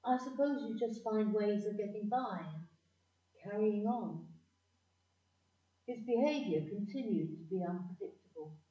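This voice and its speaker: A woman's voice: medium pitch, lively intonation, measured pace, pauses often, natural voice. A woman playing a wistful narrator looking back in scripted audio-drama narration. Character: wistful woman narrator remembering a troubled young love. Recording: dull, echoey, distant microphone.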